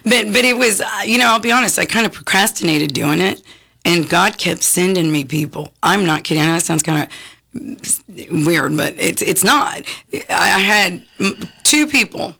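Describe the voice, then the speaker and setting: A woman with a raspy, hoarse voice, a guest chatting casually on a radio talk show, clearing her throat often and restarting her sentences. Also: fairly low pitch, expressive, normal speed, sharp tone. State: hoarse from talking a lot. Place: radio studio.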